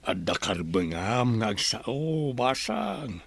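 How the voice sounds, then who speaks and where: A man as a creaky, grumbling old grandfather. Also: lowish voice, very animated, takes it slowly, heavily acted. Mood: grumbling, reproachful. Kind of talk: Ilocano radio drama acting.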